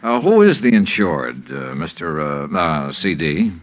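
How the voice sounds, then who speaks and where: An actor playing a brisk male freelance insurance investigator who narrates his case matter-of-factly in a 1950s radio detective drama. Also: very deep voice, very animated, no room sound, exaggerated voice. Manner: crisp, matter-of-fact narration. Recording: dull lo-fi old radio broadcast, music behind.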